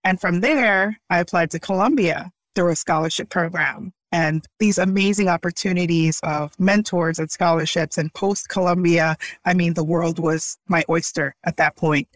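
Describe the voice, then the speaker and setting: A woman's voice: on the low side, moderate pace, few pauses, no echo. A woman from Brooklyn whose first language is Haitian Creole, speaking earnestly and reflectively as an interview guest, often laughing lightly. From Brooklyn, New York. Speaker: startup founder, guest. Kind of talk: conversational interview.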